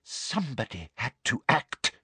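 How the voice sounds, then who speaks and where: A reader voicing a menacing middle-aged female school official in an audiobook, a breathy, gloating, self-justifying bully. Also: fairly low pitch, big pitch swings, unhurried, no echo, voice pushed up, exaggerated voice. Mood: quiet menace.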